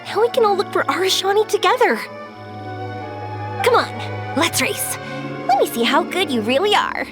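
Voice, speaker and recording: Loud music backs an energetic young heroine from an anime game. The voice is very high and lively, with a bubbly, playful, encouraging manner. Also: female voice, moderate pace, long pauses. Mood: cheerful, playful. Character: Yoimiya, cheerful, energetic young woman, warm-hearted and playful. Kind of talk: anime video game voice acting.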